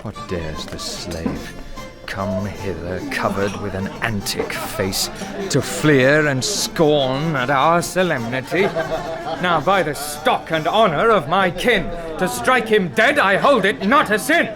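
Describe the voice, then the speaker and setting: Fiery young noble, swooping pitch. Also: male voice, lively intonation, slow. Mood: outraged. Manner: declamatory, indignant. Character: fiery, proud young nobleman thirsting for a fight. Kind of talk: Shakespearean audio drama acting. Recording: music behind the voice.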